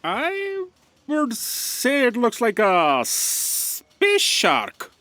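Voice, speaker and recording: A slow-talking, pedantic female copy aide, played by a woman in a sci-fi comedy audio drama. The delivery is measured and dry-witted, with pitch that moves around a lot. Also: huge pitch swings, a bit bright, dry recording, exaggerated voice. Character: Copy Aide, pedantic deadpan editorial assistant to Destiny's editor.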